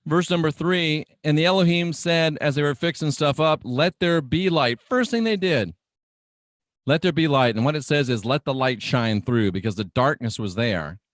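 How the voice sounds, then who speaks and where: A man's voice: on the high side, normal speed, no echo, studio quality. A middle-aged man preaching on the radio in a dramatic, emphatic teaching style, repeating phrases for weight. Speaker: radio preacher and show host.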